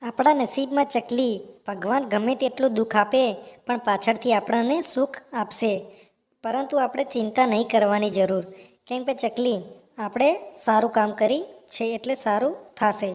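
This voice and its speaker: A woman voicing a hopeful, consoling female friend in an animated moral story, reassuring and repetitive. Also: a little high, normal speed, close to an everyday voice.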